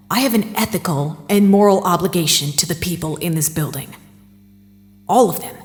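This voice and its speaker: A woman acting in an audio drama as a driven, weary site director, insistent and deliberate. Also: lowish voice, big-room sound, lightly acted.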